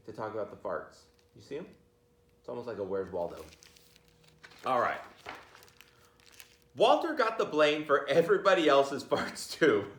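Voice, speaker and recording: Animated man reading aloud, roomy echo. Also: fairly low pitch, vocal fry. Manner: playful, addresses listeners directly. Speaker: read-aloud storyteller. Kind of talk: animated children's picture book read-aloud with chatty asides. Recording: roomy, echoey sound.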